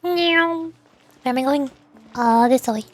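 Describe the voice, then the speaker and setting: A woman's voice: sits high, slow, faint music behind. A young woman streaming a game, chatting playfully with frequent giggles as she comments. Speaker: VTuber streamer.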